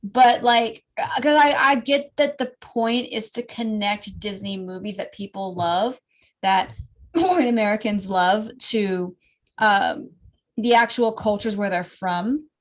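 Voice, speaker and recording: A woman's voice: medium pitch, a little slow. A woman co-hosting a podcast in casual chat, rambling with many fillers and restarts. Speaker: podcast co-host.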